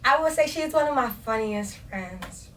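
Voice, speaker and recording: A woman's voice: on the high side, lively intonation, unhurried. A young woman hosting a casual podcast chat, her voice strained and worn, talking in slangy, laughing bursts. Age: young adult. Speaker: podcast host. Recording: distant mic with faint noise.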